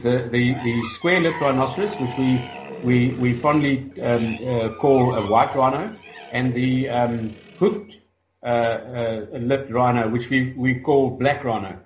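A man's voice: medium pitch, a little hiss or hum. South African man in an echoey room. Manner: hesitant, many fillers and restarts. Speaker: guest, rhino conservationist. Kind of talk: casual interview chat.

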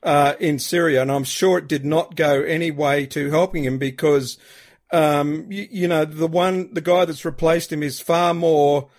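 A man's voice: fairly high pitch, fairly even intonation, a little slow. Co-host, a man with a lighter voice, chatty and aside-prone. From Australia. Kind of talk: casual radio interview talk.

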